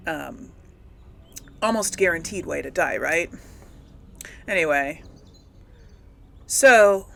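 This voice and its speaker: A woman's voice: fairly low pitch, creaky, fairly bright tone, a little hiss or hum. A woman giving casual, musing commentary as she role-plays through a video game. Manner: musing, thinking aloud. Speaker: gaming video host.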